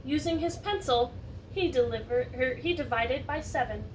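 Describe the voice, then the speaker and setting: High-voiced woman reading aloud to children. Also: moderate pace. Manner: animated, asks listeners questions. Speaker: teacher reading a picture book to children. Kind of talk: expressive read-aloud story narration with asides to children. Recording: distant mic, roomy background noise.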